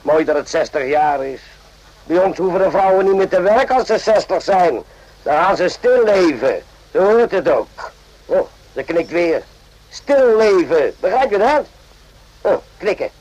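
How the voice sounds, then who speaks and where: An actor playing an irritable, suspicious army medic in a radio drama, with a gruff, interrogating manner. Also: male voice, sits high, big pitch swings, a little slow, a little mellow, no room sound, full character voice. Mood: scornful. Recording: dull lo-fi radio play recording.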